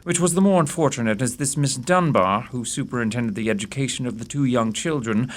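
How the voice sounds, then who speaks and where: A self-assured gentleman detective, played by a man in a radio play. He is urbane and analytical, laying out his reasoning in precise, formal phrases at a middling pitch. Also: fairly quick, close to an everyday voice.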